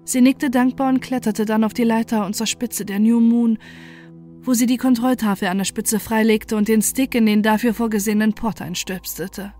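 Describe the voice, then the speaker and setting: High-voiced woman narrating horror fiction. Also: steady tone, moderate pace, mellow, faint music behind. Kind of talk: scripted first-person horror story narration.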